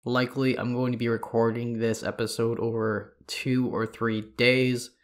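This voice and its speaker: Congested man reading lore notes. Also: mid-range voice. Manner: steady, measured reading of reference material. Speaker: podcast host. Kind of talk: scripted lore narration.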